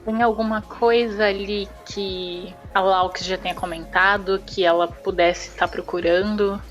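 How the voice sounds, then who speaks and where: A woman's voice: mid-range voice, steady tone, measured pace. A woman playing a tabletop roleplaying game, casually stating actions and asking questions in character. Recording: slightly muffled, band-limited audio with music. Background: background music.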